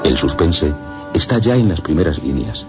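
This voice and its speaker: A man presents radio drama in an extremely low voice with a Castilian accent. His scripted narration is solemn and deliberate, phrased for suspense, with music underneath. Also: big pitch swings. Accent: Castilian Spanish.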